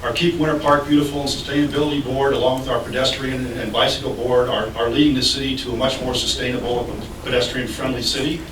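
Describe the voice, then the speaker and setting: A man delivers his opening statement at a local candidate debate, friendly with a touch of humor. He sounds distant from the mic, with the room's echo around him and a steady wash of noise behind. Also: mid-range voice, fast talker, few pauses. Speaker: city commissioner candidate. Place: public library meeting room. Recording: echoey room, distant mic, noisy.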